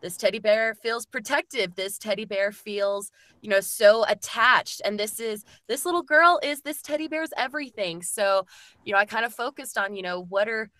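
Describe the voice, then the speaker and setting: Female podcast guest, bright forward tone, earnest casual explaining, tight dry recording. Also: medium pitch, moderate pace, dry recording. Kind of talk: casual chat.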